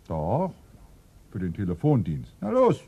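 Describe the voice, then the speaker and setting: An actor playing a grumpy, sardonic, bossy police inspector in a German radio drama, brusque and dryly sarcastic. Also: male voice, on the low side, wildly swooping pitch, a little slow, noisy background, full character voice. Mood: grumbling.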